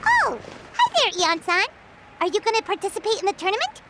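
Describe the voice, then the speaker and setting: A woman voicing a tiny, cheeky, excitable fairy girl in a video game, with a squeaky childlike voice and a chirpy manner. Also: fairly quick, noisy background, heavily acted. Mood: eager. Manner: chirpy, speaks of herself in third person.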